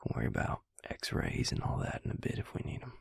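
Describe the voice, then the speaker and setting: A man playing a devious vampire posing as a suave dentist in an intimate audio roleplay, talking softly and reassuringly to the listener in a breathy, gravelly half-whisper. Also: dry recording, clean studio sound, natural voice. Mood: soothing, clinical. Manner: soft-spoken, slow, reassuring bedside patter. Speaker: dentist character. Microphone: close, dry microphone.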